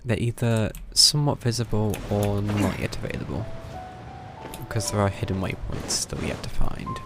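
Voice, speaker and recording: A British man with a low, gravelly voice commentates casually on his livestreamed game. He thinks aloud in a dry, muttering way while game music plays behind him. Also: normal speed. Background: video game music.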